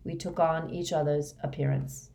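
A lowish-voiced, dazed fanatical servant, played by a woman reading an audiobook. He confesses emptily, in a flat and expressionless drone, as if drugged. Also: a little slow, lightly acted. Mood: dazed, emotionless. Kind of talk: audiobook character voice.

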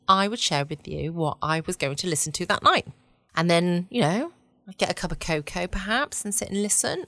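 A woman's voice: fairly low pitch, a bit bright, no room sound, studio quality. A woman with an English accent hosting a late-night bedtime podcast in a cosy, chatty way with playful asides. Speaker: podcast host. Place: at home, close and dry-sounding room.

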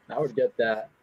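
A young man, a guest writer, talking casually and laughing at himself, with halting restarts and a husky voice. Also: mid-range voice, dry recording. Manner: self-deprecating, laughs often, halting restarts. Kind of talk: casual podcast chat. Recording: dull lo-fi audio.

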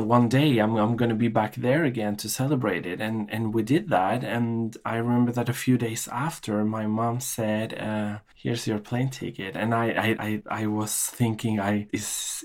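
A man telling a personal story in an interview, hesitant and full of restarts. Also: lowish voice. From Norway. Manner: hesitant, many restarts, emotional reminiscing.

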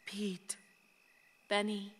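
A woman's voice: mid-range voice, monotone, drawn-out words, a little hiss or hum. An actress playing the tender, sorrowful ghost of a young wife and mother in a radio play. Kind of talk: stage-like radio play acting. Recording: reverberant hall-like room.

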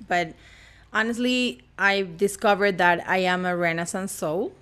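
A woman's voice: medium pitch, fairly bright tone. A young woman from Argentina with an Argentine accent, chatting playfully as a podcast guest and laughing often. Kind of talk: casual chat.

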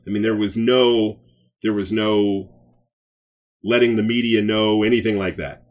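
A man's voice: lowish voice, steady tone, measured pace. A man speaking as a podcast co-host in a casual review chat, conversational and blunt about his opinions.

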